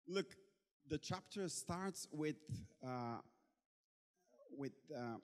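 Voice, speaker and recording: A Bulgarian-born man preaching in English, pausing often as he searches for words, with a playful edge. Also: fairly high pitch, lively intonation. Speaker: guest preacher. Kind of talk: sermon in English with interpreter. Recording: long hall reverb.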